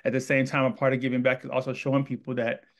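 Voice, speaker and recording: A Texan man hosts an interview at a quick clip, gushing and piling phrase on phrase. His voice sits at an ordinary middle pitch and comes close on a studio boom mic in a small room. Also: completely flat delivery, highs cut off. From Texas. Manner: enthusiastic, effusive and rambling. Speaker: host. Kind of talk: interview hosting. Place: indoor studio room with wall art. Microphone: studio mic on boom arm.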